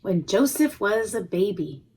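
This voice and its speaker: A woman reading a children's storybook aloud, pausing to explain things simply and expressively to young listeners. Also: mid-range voice, lively intonation, darkish tone, slight background noise. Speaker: preschool education manager reading a storybook.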